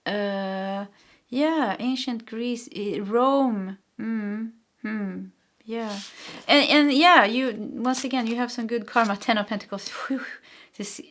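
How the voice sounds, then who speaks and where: A woman with a Swedish accent talks through a pick-a-card tarot reading in English, her second language. She rambles a little, filling pauses and correcting herself as she looks for the right word. Her voice sits somewhat high for a woman. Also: a bit bright. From Sweden. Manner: chatty and searching, with frequent fillers and self-corrections. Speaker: tarot reader.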